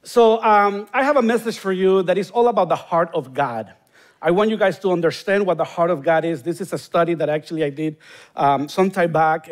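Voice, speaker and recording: A man in his forties from Honduras with a Honduran Spanish accent, a guest pastor preaching a sermon and praying, earnest and rising to emphatic bursts. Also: high-pitched. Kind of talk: sermon with prayer. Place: large church stage, indoors.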